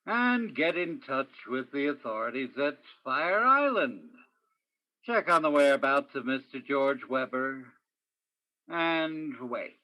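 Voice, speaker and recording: A man's voice: medium pitch, animated, slow, lo-fi sound. An actor playing a world-weary police detective who narrates his case in clipped, poetic hardboiled phrases, in the style of 1950s radio noir. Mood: brisk, businesslike.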